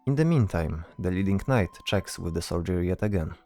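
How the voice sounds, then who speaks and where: A man with a low, mellow voice narrates a scripted fantasy tale. His delivery is deliberate, laid out in short phrases. Faint music plays behind him. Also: animated. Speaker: narrator, storyteller.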